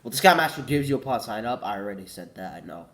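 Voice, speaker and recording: A man's voice: lowish voice, big pitch swings, quick pace, a bit bright. A teenage boy with a cold, congested and coughing, rambling casually and correcting himself, saying "like" a lot. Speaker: vlogger.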